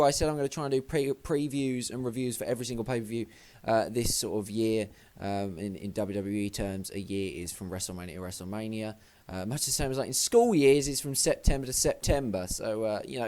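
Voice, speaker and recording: A young man, slightly hoarse, rambling and swearing casually as he previews a wrestling show. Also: on the low side, a little mellow. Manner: rambling, sweary, self-deprecating. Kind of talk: casual vlog commentary.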